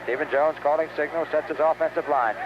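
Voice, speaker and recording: A man's voice: a little high, fairly even intonation, fairly quick. An American man calling live football play-by-play on the radio, in short clipped phrases that follow the action. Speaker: play-by-play sports announcer. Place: outdoors at a stadium. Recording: dull, noisy 1970s radio recording.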